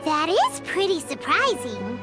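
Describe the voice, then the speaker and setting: Tiny childlike fairy mascot, squeaky, sky-high pitch, slow delivery, cheeky, video game. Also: female voice, huge pitch swings, heavily acted. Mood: puzzled, skeptical. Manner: speaks of self in third person, childish. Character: tiny floating childlike fairy companion, cute, cheeky, food-loving. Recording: background music throughout.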